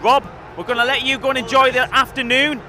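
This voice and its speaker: A male host with a high voice presents a live pre-match show, lively and steering the chat with questions. He is outdoors at a stadium, with loud surrounding noise behind him. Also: quick pace, a faint crowd. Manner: lively, leading the talk with questions.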